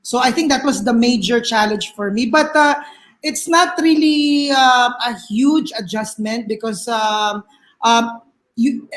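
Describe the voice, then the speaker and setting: A woman's voice: a little high, unhurried. A woman with a Filipino accent speaking as a panel guest, hesitant with many fillers and repeated words. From Philippines. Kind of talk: casual panel discussion.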